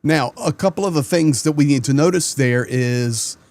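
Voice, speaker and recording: A man's voice: on the high side, normal speed, a little mellow, no echo. A man hosting his own channel, giving casual news commentary in an earnest, conversational way and repeating words as he starts a sentence. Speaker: channel host.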